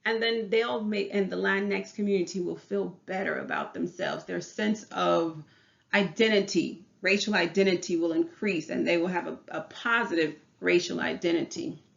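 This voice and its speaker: A woman's voice: on the low side, animated, normal speed, creaky, lo-fi sound. A woman in her thirties with a Southern US accent, a teacher giving a class presentation in an earnest way, often restarting her phrases. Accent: Southern US English.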